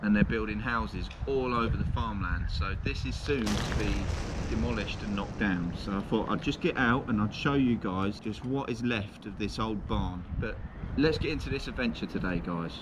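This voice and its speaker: A man's voice: fairly low pitch, moderate pace. Guy in his thirties talking to the camera outside an old barn, lots of background noise. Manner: chatty, addresses viewers as guys. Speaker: urban explorer vlogger. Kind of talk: casual vlog to camera. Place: outdoors by an abandoned barn. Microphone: camera mic at a distance. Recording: loud outdoor noise.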